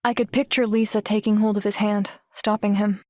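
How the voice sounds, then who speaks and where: A woman playing a wary, introspective teenage girl who narrates her own story in a measured audiobook style. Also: mid-range voice, level tone, darkish tone, no room sound, clean studio sound, natural voice. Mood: wary, bemused. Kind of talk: first-person audiobook narration.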